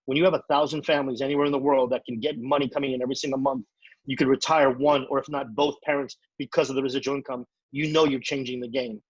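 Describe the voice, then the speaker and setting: A man giving an energetic, repetitive motivational training talk as a network marketing trainer. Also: mid-range voice, fast, husky, no echo, lo-fi sound. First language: English.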